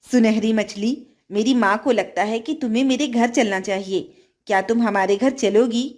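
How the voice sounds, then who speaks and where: A woman's voice: a little high, normal speed, a little mellow, voice pushed down, lightly acted. A woman voicing a kind, obedient young boy in a children's story, in a polite, sincere and mild manner. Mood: hesitant request.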